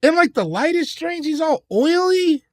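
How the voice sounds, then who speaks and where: High voiced guy cohosting a comedy pod, super animated, riffs bits, mutters asides, dry close mic. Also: normal speed, no room sound. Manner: animated joke riffing, trails off into mutters. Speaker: podcast co-host. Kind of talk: casual comedy podcast banter.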